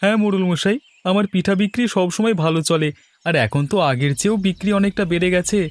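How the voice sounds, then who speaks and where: A man's voice: high-pitched, brisk, close to an everyday voice. A man voicing a polite, eager-to-please village cake seller in a cartoon fairy tale. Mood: obliging, cheerful. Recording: music under the voice.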